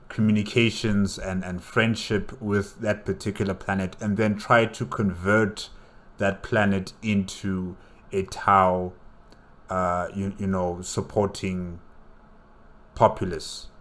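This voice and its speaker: Man, fairly deep voice, casual reaction commentary, hesitant, repeats words, thinks out loud. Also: level tone, takes it slowly. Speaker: reaction host.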